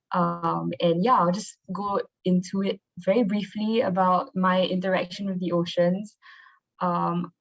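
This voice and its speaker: A young woman giving an informal, conversational presentation as a marine biologist over a video call. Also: lowish voice. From Singapore. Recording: dull, lo-fi online call audio.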